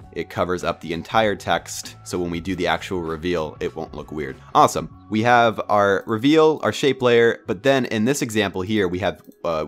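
A young man with a stuffy, congested voice from a cold, talking through a software tutorial at his computer in a relaxed, rambling way with lots of ums and uhs. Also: low voice. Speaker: tutorial host. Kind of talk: casual screen-recorded software tutorial.

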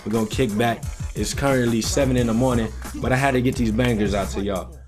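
A man's voice: mid-range voice, fairly even intonation, fast. American guy in his 20s doing hype game reaction, lots of slang, music behind. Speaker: YouTube gaming reaction host. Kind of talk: casual reaction commentary. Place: indoor gaming room. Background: music and game audio.

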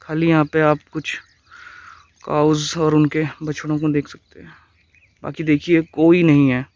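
A man's voice: on the high side, little pitch movement, measured pace, no echo, highs cut off. A young man from India, cycling outdoors and earnestly giving friendly advice to his viewers, recorded on the camera mounted on his handlebars. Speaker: cycling vlogger. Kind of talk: casual vlog talk to camera while riding. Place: outdoors by an empty stadium, riding a bicycle. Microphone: handlebar-mounted camera mic. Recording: outdoor camera audio with loud ambient noise.

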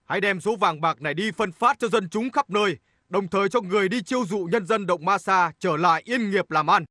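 A man's voice: sits high, brisk, sharp tone, dry recording, clean studio sound. A man playing a stern, just king in a historical cartoon, speaking in a formal, commanding way.

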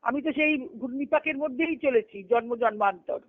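A very high-pitched woman speaks over a thin, phone-like line. She teaches spiritual philosophy in a didactic way, repeating phrases and answering her own questions. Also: moderate pace, dry recording. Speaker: spiritual teacher giving a weekly discourse. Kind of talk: devotional philosophical discourse with quoted scripture. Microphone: telephone conference call. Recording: thin phone-line conference call audio.

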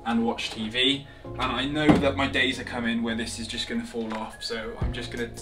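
A man's voice: medium pitch, little pitch movement, normal speed, distant mic. A young man, chatting jokingly while showing viewers around his house. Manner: jokey, chatty, teasing. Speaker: vlogger giving a house tour. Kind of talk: casual house tour chat. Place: small carpeted house, indoors. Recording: echoey room, slightly off-mic, music under.

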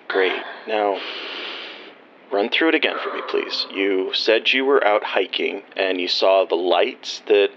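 A man playing a reassuring small-town doctor in an audio drama, gently repeating himself. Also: deep voice, a little slow, dull audio, a little hiss or hum. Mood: soothing, concerned. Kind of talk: naturalistic audio drama acting.

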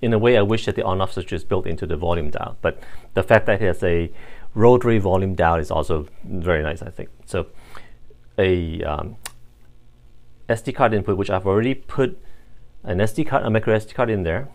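A man giving a casual, unscripted hands-on product review in a halting, think-aloud manner. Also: low voice.

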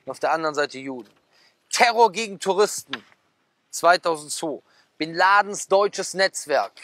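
A man in his thirties, a preacher, sitting at a garden table outside, reading out headlines and breaking into animated asides with questions to his listeners. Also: fairly high pitch, slight background noise. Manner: animated, gesturing, quick asides with rhetorical questions. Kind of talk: casual talk, reading out magazine headlines. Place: outdoors at a garden table, sunny.

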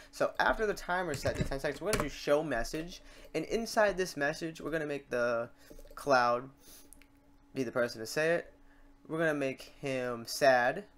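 A man hosting a casual, step-by-step software tutorial, talking informally to his viewers. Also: on the high side. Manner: informal, addressing viewers as guys. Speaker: tutorial host. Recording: clear background noise, keyboard typing, clicks. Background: keyboard typing and mouse clicks.

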